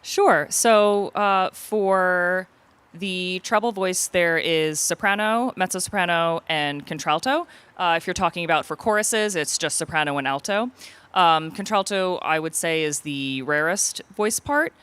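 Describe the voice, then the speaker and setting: Opera singer lady, smooth resonant low contralto, chatting through answers in an interview. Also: measured pace, fairly bright tone. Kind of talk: casual interview answers, explaining.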